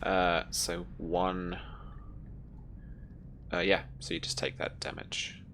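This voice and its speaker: Low, creaky-voiced game master. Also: monotone, takes it slowly, fairly bright tone, a little hiss or hum. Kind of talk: narrating a tabletop role-playing game.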